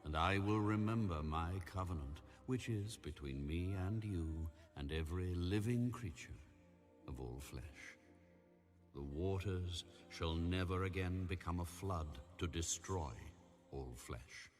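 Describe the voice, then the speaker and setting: A man narrating scripture in a solemn, measured, grave manner with deliberate pauses. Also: low voice, faint music behind. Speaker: audio Bible narrator.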